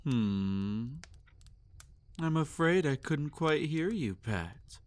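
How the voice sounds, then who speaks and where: A man playing a possessive, sadistic vampire master in an intimate roleplay audio, teasing and domineering, often slipping into breathy whispers. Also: a little high, lively intonation, extremely slow. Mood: mocking, condescending.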